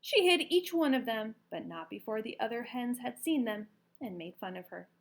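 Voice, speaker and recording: A woman narrates a children's storybook in a high voice that swings widely in pitch. She leans into key words with an expressive, emphatic storyteller's delivery. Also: moderate pace. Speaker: storybook reader. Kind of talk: animated children's read-aloud narration.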